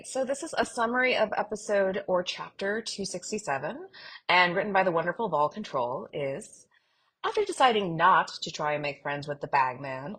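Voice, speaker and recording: A woman co-hosting a fan podcast, talking casually in an expressive, theatrical manner. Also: fairly low pitch, husky. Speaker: podcast co-host.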